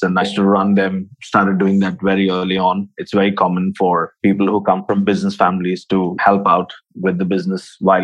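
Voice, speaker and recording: Man from India, low voice, relaxed talk. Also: dull audio. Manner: relaxed, conversational, with fillers. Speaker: podcast guest, agency founder. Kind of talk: casual interview answers.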